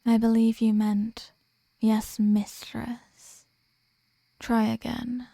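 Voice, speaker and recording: Woman playing a very slow, sultry vampire mistress. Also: on the high side, dark tone, dry recording, full character voice. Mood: dominant, indulgent. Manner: slow, teasing, commanding, breathy half-whispers. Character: sadistic, dominant female vampire, seductive and possessive. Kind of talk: ASMR roleplay.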